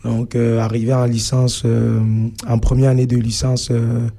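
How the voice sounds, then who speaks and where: A young man with a Cameroonian accent tells his own story as an interview guest. His voice is very soft-edged and dark, with little movement in pitch. He talks haltingly, filling the gaps as he searches for words. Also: completely flat delivery, gravelly. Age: young adult. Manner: hesitant, halting delivery with frequent filled pauses. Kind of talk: personal account in an interview.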